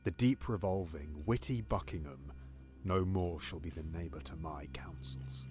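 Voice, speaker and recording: A man's voice: sits low, unhurried, darkish tone, faint music behind. An actor playing a scheming, paranoid usurping king in a Shakespearean audio drama, swinging between menacing whispers and sudden outbursts.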